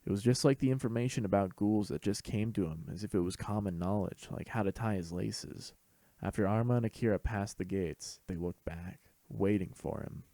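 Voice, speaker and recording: A man's voice: lowish voice, a little fast, dark tone, no echo. A man reading scripted fan fiction as the narrator of an audio story.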